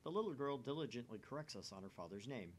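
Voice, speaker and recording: A man's voice: expressive, fast talker. A man hosting a game channel, reading visual novel narration aloud and chatting casually. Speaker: video game channel host. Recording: faint rumbly background noise.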